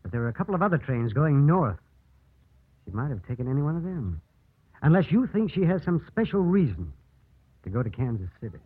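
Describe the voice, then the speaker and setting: An actor playing a grave, matter-of-fact small-town doctor in a vintage radio drama. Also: male voice, on the high side, very animated, brisk, long pauses, breathy edge, no echo. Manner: grave, factual. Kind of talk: 1940s-50s radio acting. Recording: muffled old radio broadcast.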